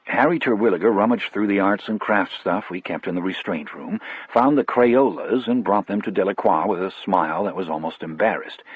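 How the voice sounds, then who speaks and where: A man narrating a first-person audiobook story in a measured, reflective manner. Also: deep voice, husky, no room sound, narrow, tinny audio.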